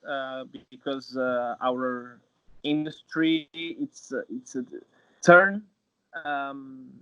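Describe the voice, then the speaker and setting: A man in his late twenties with a Portuguese accent, speaking English as a second language in a halting, casual way over a video call. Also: mid-range voice, steady tone, pushed and bright, smooth, dry recording. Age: 20s. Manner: halting, many fillers and restarts. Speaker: guest actor. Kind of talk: casual interview. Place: small room at home, indoors. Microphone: video call webcam. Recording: dull video call audio, dry.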